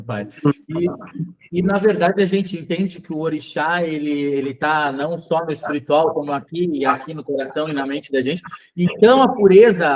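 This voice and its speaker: A man hosting an interview, speaking in a respectful, affirming way with drawn-out phrases. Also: high voice, a little mellow. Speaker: podcast host, interviewer. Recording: muffled lo-fi recording.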